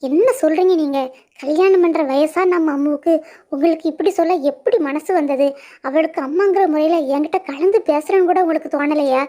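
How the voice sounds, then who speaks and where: A woman's voice: high-pitched, brisk, mellow, lightly acted. A woman voicing an anxious middle-aged mother who argues in an upset, protective way. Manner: upset, pleading.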